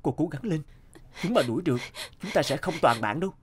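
A man voicing a brave, kind young man in an animated folktale, earnest and a little hesitant. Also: on the high side, fast talker, breathy edge. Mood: urgent, earnest.

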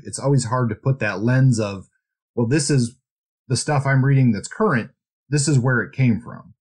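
A male podcast co-host with a medium-pitched voice. He discusses books casually, in a reflective, explanatory manner. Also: darkish tone.

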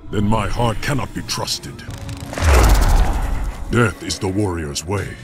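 An actor playing a proud, regal warrior emperor in a video game, speaking in formal, solemn, menacing lines. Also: male voice, deep voice, animated, takes it slowly, over loud music. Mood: stern, threatening. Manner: formal, solemn, stately threats. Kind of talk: video game character dialogue.

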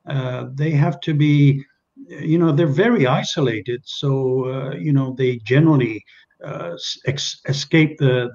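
A man's voice: medium pitch, a little mellow, dull audio. An older man from Kenya whose first language is Czech, speaking as a guest on a video call interview and trailing off into many hesitations and restarts. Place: small indoor room at home. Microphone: webcam on a video call.

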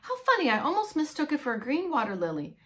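A woman's voice: high-pitched, wildly swooping pitch, fast, sharp tone, pitched above the performer's own voice, heavily acted. A woman voicing a surprised, bemused old male painter from a children's storybook, in an exclamatory manner. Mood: amused surprise.

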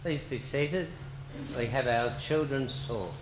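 A man leading a church service, reciting prayers in a slow, measured way. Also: mid-range voice. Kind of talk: liturgical prayer and announcements. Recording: echoey church with background noise.

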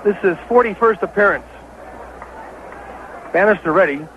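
A high-voiced American man calls a baseball game in 1970s radio style. He is an excitable, openly partisan play-by-play announcer. The old broadcast recording sounds dull, with clear ballpark noise behind him. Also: normal speed. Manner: excitable, partisan home-team caller. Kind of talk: 1970s live radio sports commentary.

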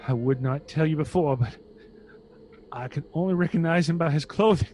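A man's voice: on the high side, moderate pace, darkish tone, a little hiss or hum, lightly acted, low-quality recording. A man playing a sorrowful, fearful elderly husband in a radio horror drama, grave and anxious. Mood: appalled.

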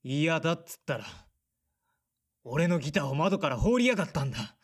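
A man's voice: on the high side, sing-song, a little fast. A man playing a blunt, resentful son in his thirties, in audio drama dialogue and narration. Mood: bitter, defiant. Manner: sullen, blunt. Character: resentful 35-year-old son, former teenage rock guitarist.

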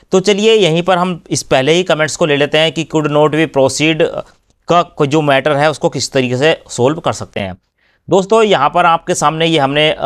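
A man hosting a screen-recorded tutorial, explaining step by step and often addressing viewers as friends. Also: fairly high pitch. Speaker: YouTube channel host explaining refunds.

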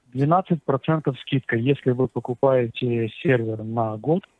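A man's voice: medium pitch, moderate pace, no echo. A man working as a sales agent on the phone, explaining offers in a hesitant, stammering way. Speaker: customer service sales agent. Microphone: telephone. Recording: muffled narrow phone line.

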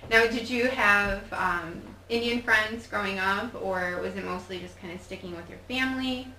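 Affirming woman host, bright roomy voice. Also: medium pitch, normal speed, slight background noise. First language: Polish. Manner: warm, affirming with frequent mm-hmms. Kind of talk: interview hosting. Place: studio.

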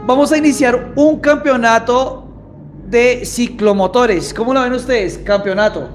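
A man's voice: very high voice, unhurried, soft background music. A man in his thirties with a Colombian accent, hosting a casual live-stream chat in an informal, emphatic way. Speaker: host. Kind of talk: casual live-stream talk, announcing a championship. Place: small motorbike workshop, indoors. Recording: echoey room with clear background noise.

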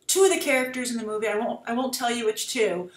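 A woman's voice: fairly high pitch, quick pace, roomy sound. A young woman giving an off-the-cuff film review to a webcam in a small room. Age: young adult. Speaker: web show host, film reviewer. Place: small plain room indoors.

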